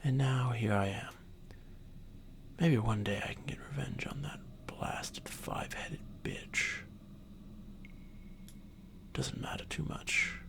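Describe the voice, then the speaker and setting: An ancient, domineering male dragon, acted by a man for fantasy ASMR roleplay. He half-whispers with a gravelly creak, dragging his words out very slowly in a haughty, deliberate manner. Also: medium pitch, fairly bright tone. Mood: bitter, vengeful, then boastful, aloof. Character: arrogant ancient dragon, male, thousands of years old, domineering.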